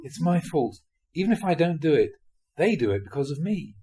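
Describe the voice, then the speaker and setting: A man plays a village fisherman from a spoken teaching tale, torn by conscience. His voice lifts high and swings about as he protests and excuses himself. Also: big pitch swings, fairly quick, warm tone. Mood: guilty.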